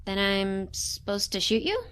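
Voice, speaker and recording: A woman's voice: medium pitch, sing-song, measured pace, fairly bright tone, clean tone. A woman playing a young science intern in a sci-fi audio drama, earnest, awkward and rambling, with an apologetic manner. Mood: flustered. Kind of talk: natural audio drama acting.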